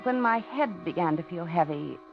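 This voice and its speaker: An actress playing a polite, lonely older widow in a 1950s radio thriller, talking and narrating in a genteel, dramatic way. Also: female voice, lowish voice, expressive, normal speed. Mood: uneasy, haunted. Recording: dull 1950s radio recording, music behind.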